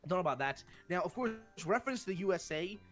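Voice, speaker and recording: A man's voice: high voice, expressive, very fast, fairly bright tone, faint music behind. A young man with a Swedish accent, hosting a casual discussion and rambling with many fillers and restarts. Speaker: host.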